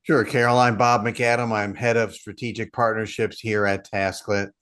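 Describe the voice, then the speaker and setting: A man's voice: lowish voice, flat delivery, normal speed, a bit bright. A middle-aged man, a partnerships head, explaining steadily and with self-deprecating asides as a guest. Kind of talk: casual interview chat.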